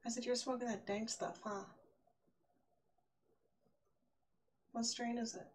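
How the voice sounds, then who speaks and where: A man's voice: very high voice, level tone, fairly quick. A man using a voice changer to play a gushing, ditzy young woman in a casual prank video chat. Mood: teasing. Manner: valley-girl filler "like", gushing. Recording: noisy webcam chat audio, clicking.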